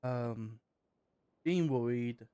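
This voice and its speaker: A man streaming a game, making loud, offhand remarks with some swearing. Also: medium pitch, extremely slow, clear, resonant tone. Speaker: streamer playing through a game. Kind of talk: casual live gameplay commentary.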